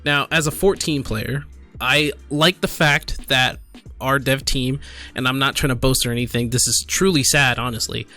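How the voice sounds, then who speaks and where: Guy doing casual gaming commentary, kinda halting and apologetic, bright punchy voice, soft music in back. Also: medium pitch. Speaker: gaming video creator. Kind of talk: casual commentary, reading a forum post aloud.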